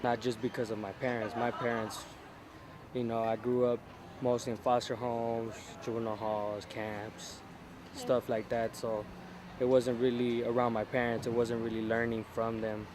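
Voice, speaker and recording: A man from South Central Los Angeles speaks in a casual, halting way, circling back and restarting his thoughts. His pitch sits in an ordinary middle range. He is recorded outdoors, with clear street and vehicle noise around him. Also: little pitch movement. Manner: hesitant, restarts often. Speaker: interviewee. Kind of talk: casual street interview answers.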